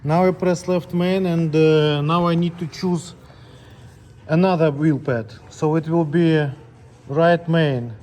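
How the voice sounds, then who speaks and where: Higher-voiced man giving step-by-step instructions, mellow tone. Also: fairly even intonation, takes it slowly. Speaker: scale technician demonstrating calibration. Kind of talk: hands-on tutorial, unscripted step-by-step instructions.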